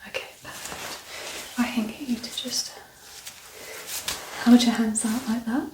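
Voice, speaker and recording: A woman speaking softly and gently, often near a whisper, as a doctor in an ASMR medical exam roleplay. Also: a little high, level tone, slow. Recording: roomy echo, distant mic, clear background noise.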